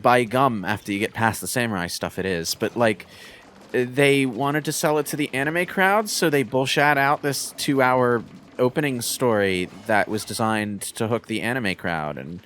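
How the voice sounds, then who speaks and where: A man with a halting, word-repeating delivery, casually giving his opinions on video games as a commentator. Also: medium pitch, fairly bright tone, a little hiss or hum. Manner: halting, repeats words while forming arguments. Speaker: commentator on a gaming show.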